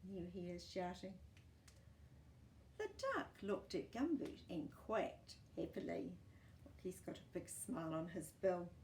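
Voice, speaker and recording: A woman reads a children's story at an unhurried pace, with a very lively rise and fall. She speaks encouragingly to little listeners, slipping in chatty asides. There is faint background noise. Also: fairly low pitch, reverberant. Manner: animated, encouraging, asks the listener questions. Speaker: storyteller reading to young children. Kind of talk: expressive picture-book storytime reading with asides to children.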